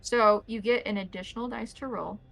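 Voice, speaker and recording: A woman narrating a tabletop roleplaying game as game master, describing scenes in deliberate detail. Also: medium pitch, steady tone, creaky, fairly bright tone, poor audio.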